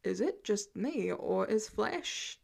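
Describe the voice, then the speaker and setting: A woman's voice: mid-range voice, animated, slow, gravelly, darkish tone, breathy edge, exaggerated voice. A woman voicing a headstrong, protective teenage boy with a dry, teasing manner in a story reading. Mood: indignant. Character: Harry, protective, smug, teasing teenage boy best friend.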